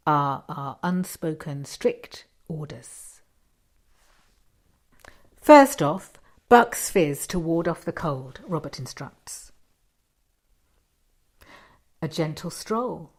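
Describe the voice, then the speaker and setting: Low-pitched woman reading a short story. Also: a little mellow. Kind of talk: expressive narration of a first-person short story.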